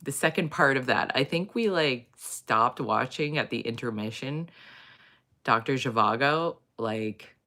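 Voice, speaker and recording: A woman hosting a casual chat, rambling with many fillers, in a low morning voice. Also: expressive, measured pace, bright, forceful tone. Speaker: host. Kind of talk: casual chat reading viewer comments.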